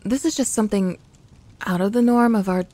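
A woman playing a young tsundere tomboy best friend in an intimate audio roleplay, grumbling and flustered but secretly affectionate, in a soft voice that often turns to whispering. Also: medium pitch, a little fast, close to an everyday voice. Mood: defensive. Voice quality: soft, breathy.

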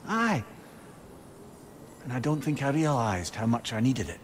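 A man's voice: medium pitch, very animated, measured pace, a little hiss or hum. A man playing a wry, reflective old sage companion in a video game, musing eloquently in a Scottish accent. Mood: wistful musing. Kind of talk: dramatic video game acting.

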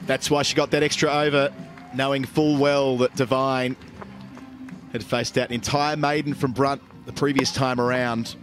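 A man's voice: mid-range voice, level tone, moderate pace, soft background music. A man giving live cricket commentary on a match.

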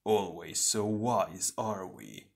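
A man voicing a scornful, sinister shadow double of an earth warrior, slow and hushed, with a sneer. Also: on the low side, steady tone.

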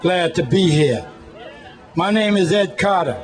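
A man's voice: sits high, lively intonation, unhurried, some chatter behind. A man, giving a militant, folksy, improvised protest speech outdoors into a microphone. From Brooklyn, New York. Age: 70s. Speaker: community activist at a rally. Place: outdoor city rally stage. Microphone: handheld microphone.

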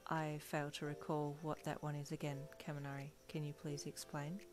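A woman voicing an earnest, naive teenage boy in a storybook reading, speaking in a flat, formal, deliberate way. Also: low-pitched, soft background music, lightly acted. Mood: earnest, deadpan.

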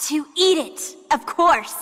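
A sneering, sadistic alien queen from a video game. Her voice is high and theatrical, dripping with haughty mockery, and it echoes through a large hall. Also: fairly bright tone, slight background noise, heavily acted. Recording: long cavernous reverb.

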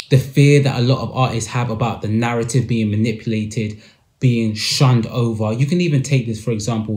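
A young man, hosting a podcast and commenting emphatically to camera from a small room. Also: lowish voice. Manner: animated, emphatic with hand gestures. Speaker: podcast host.

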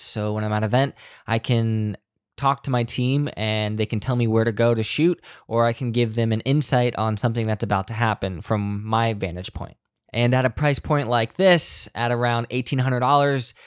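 A young man hosts a casual gear review. His voice sits at an average pitch, and the sound is dry and close, with no room on it. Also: no echo. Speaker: video reviewer, event shooter. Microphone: headset intercom mic.

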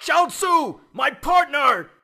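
A man plays a melodramatic, earnest fighter in an anime parody. He sounds solemn and protective, with a bright voice and lively inflection, over faint music. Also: very high-pitched, slow, creaky, exaggerated voice. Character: earnest, melodramatic adult male anime warrior. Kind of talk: anime parody dub acting.

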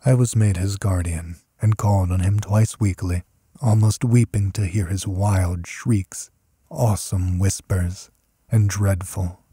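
A man reading a horror story as an audiobook narrator, measured and solemn in his delivery. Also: deep voice, steady tone, warm tone, husky, no room sound, clean studio sound.

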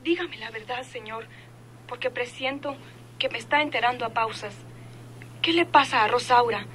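Actress as anxious composed nurse, radio drama, high bright voice, urgent, faint noisy hum. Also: female voice, normal speed, natural voice. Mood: insistent, suspicious. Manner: urgent, insistent questioning. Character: anxious but composed young nurse, older sister.

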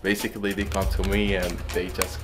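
A young man from Lebanon talks about his small shop in an easy, loose way. His voice sits very low. Music plays underneath the interview. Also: monotone, a little fast. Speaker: hookah shop owner. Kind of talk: documentary interview.

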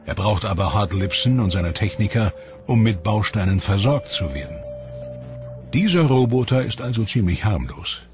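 A low-pitched male voice reads a documentary script at a measured pace. He lets his voice sink on the darker lines. A clear, steady music score runs underneath him. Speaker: documentary narrator.

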